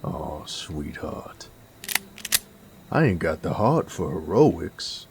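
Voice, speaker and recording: A man playing a gruff, cocky werewolf bounty hunter in a Western audio roleplay, drawling in an American Southern accent with sardonic taunts. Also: a bass voice, big pitch swings, takes it slowly, noisy background, heavily acted. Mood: cocky, teasing. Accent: American Southern drawl, Western style.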